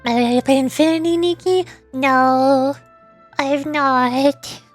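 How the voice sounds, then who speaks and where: A woman's voice: sits high, steady tone, takes it slowly, faint music behind. A woman streamer chatting casually and ramblingly about games, often repeating words as she goes. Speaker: streamer and VTuber.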